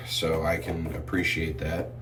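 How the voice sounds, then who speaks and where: A man reviewing shave soap in a relaxed, rambling way, a bit sniffly and clearing his throat often. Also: rumbling low, far from the mic. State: sniffly from irritated sinuses. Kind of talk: casual product review vlog.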